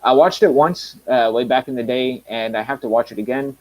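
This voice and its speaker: A man's voice: medium pitch, brisk, darkish tone, low-quality recording. A man in his thirties hosting his own channel, chatting casually on a live stream with lots of fillers and restarts. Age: 30s. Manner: rambling, many fillers and restarts. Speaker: channel host.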